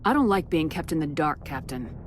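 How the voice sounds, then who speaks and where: An actress playing a no-nonsense, assertive military commander in a video game, direct and questioning. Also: female voice, sits low, sing-song, brisk, faint music behind. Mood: pointed, wary. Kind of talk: video game cutscene acting.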